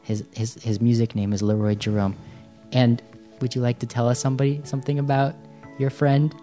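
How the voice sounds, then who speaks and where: A man's voice: medium pitch, flat delivery, brisk, dark tone, soft background music. A man from the United States hosting a casual podcast, friendly and chatty, saying "like" a lot. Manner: friendly, chatty, uses "like" often. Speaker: host.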